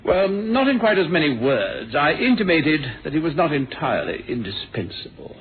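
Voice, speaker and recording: Domineering patriarch, highish voice, old radio. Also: male voice, very animated, crowd noise. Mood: smooth, menacing. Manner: suave, pointed, deliberate. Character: smooth, domineering, needling older patriarch and boss. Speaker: actor in a radio drama. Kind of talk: 1970s radio mystery drama acting. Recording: thin, phone-like old radio recording.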